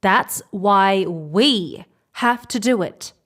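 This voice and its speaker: A woman's voice: mid-range voice, animated, extremely slow, a bit bright, exaggerated voice. A woman acting a determined, stubborn space explorer in a sci-fi audio drama, pleading and insistent. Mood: coaxing.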